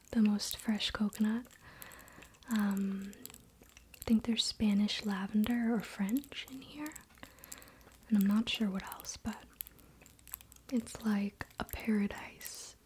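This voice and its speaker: A young woman whispering in a breathy, gentle, casual way as she hosts a spiritual ASMR ritual. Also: mid-range voice. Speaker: ASMR host. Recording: faint background noise, soft clicks.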